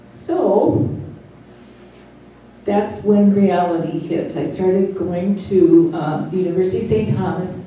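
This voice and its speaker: A middle-aged woman giving a personal testimony, speaking slowly and haltingly with carefully over-articulated consonants. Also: lowish voice, dark tone. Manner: slow and halting, many pauses. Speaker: interviewee. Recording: roomy, distant mic, faint hiss.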